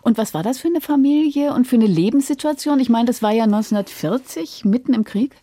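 A woman's voice: a little high, animated, fairly quick, dark tone, dry recording. A woman with pronunciation, hosting a studio radio interview and putting prepared questions to a guest.

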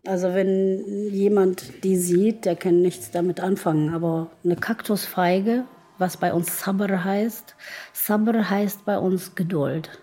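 A woman speaks in a dark, relaxed tone. She goes very slowly, pausing to search for words as she reflects. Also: medium pitch. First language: Arabic. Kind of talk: unscripted reflective talk.

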